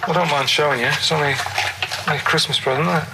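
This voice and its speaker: A man's voice: a little high, steady tone, fast talker, creaky. Rambling Northern English man, noisy background. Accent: Northern English, Manchester. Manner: plain-spoken, meandering, colloquial. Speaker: radio show co-host. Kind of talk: rambling casual radio chat.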